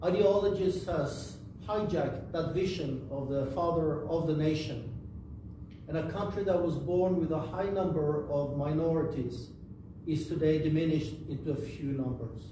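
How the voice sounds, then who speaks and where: A man who grew up in Bangladesh speaks earnestly at a conference, pausing and restarting now and then. His voice sits slightly high. He is picked up from a distance in a very echoey, noisy room. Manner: earnest, measured, with pauses and small restarts. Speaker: invited speaker, political party leader. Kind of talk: formal conference speech.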